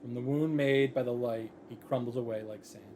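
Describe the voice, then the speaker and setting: A man's voice: medium pitch, steady tone, fairly quick, darkish tone, slight background noise. A man reading visual novel narration aloud in a dramatic style, reacting off the cuff.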